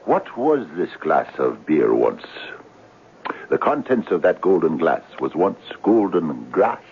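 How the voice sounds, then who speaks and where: An actor playing a garrulous, philosophizing Irish barfly friend in a theatrical 1970s radio drama, teasing and grandiloquent with an Irish accent. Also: male voice, extremely low pitch, sing-song, exaggerated voice. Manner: grandiloquent, theatrical, drawn-out storytelling. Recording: tinny, narrow, noisy old radio recording.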